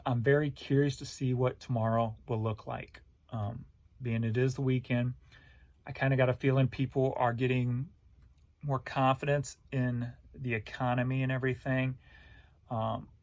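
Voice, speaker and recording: An American man with a medium-pitched voice chats casually as a reseller vlogger. He rambles in an informal, folksy way, thinking out loud as he goes. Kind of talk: casual rambling update chat.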